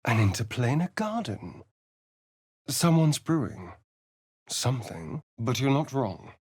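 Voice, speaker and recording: A man's voice: mid-range voice, sing-song, slow, a little mellow, breathy edge, no room sound, clean studio sound, heavily acted. An actor playing a sly, vain, sardonic male vampire rogue in a video game, with an arch, drawling, theatrical delivery. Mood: dry, bored. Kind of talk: theatrical video game character lines and narration.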